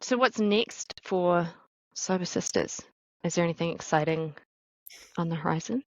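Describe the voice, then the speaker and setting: A woman's voice: lowish voice, moderate pace, a little mellow, no echo, highs cut off. A woman co-hosting a podcast interview, speaking thoughtfully and asking curious questions. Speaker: podcast co-host.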